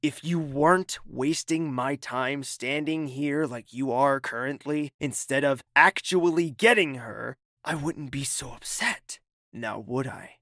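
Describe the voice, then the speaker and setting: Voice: medium pitch, unhurried, pushed and bright, no echo, clean studio sound. An actor playing a haughty, impatient young royal prince in an audio drama, clipped and condescending. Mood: imperious, irritated.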